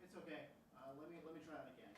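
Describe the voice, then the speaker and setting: A man's voice: a little high, very fast. A man working as a show's production assistant, talking casually and hesitantly from away from the microphone. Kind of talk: casual off-mic chat. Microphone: picked up from across the room, away from the mic. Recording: distant, echoey, noisy off-mic pickup.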